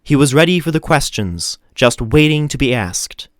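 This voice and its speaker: A man narrating a scripted story in an expressive, animated storytelling manner. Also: medium pitch, normal speed, no room sound, clean studio sound. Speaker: audiobook narrator.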